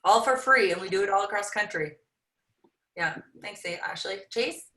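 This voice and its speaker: A woman's voice: medium pitch, normal speed, a bit bright. A woman with a Canadian accent hosting a casual panel webinar. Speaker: webinar host. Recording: roomy echo on webinar call.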